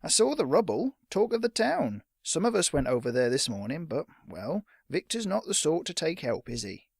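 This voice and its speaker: A man's voice: on the high side, sing-song, normal speed, vocal fry, breathy edge, no echo, studio quality, voice pushed up, exaggerated voice. A man doing a suspicious little shopkeeper. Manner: curt and suspicious. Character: small, stout, suspicious male shopkeeper.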